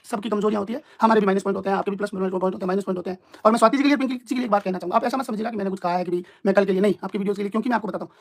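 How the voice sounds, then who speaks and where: A man's voice: high voice, fairly even intonation, racing, creaky, few pauses. A man in an informal live-stream argument, explaining himself in an emphatic, repetitive, combative way.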